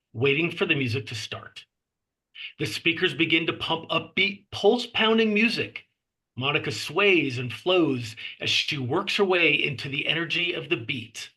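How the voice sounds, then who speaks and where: A man reading screenplay stage directions as narrator, with measured phrasing and clipped scene headings. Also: fairly high pitch, sharp tone, husky.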